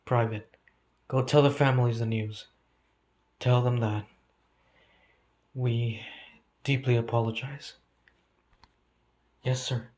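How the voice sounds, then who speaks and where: A man's voice: mid-range voice, steady tone, slow, pauses often, a little mellow, natural voice. A man playing a somber soldier in a scripted outbreak drama, speaking formally in clipped military phrases. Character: military men, grim officer and dutiful private. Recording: muffled, very noisy, vehicle-like ambience.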